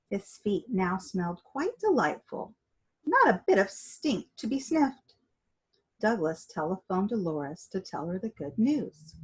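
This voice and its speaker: A woman reading a children's story aloud in an expressive, dramatic and deliberate way, with a husky voice. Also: medium pitch, rough recording. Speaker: storybook reader.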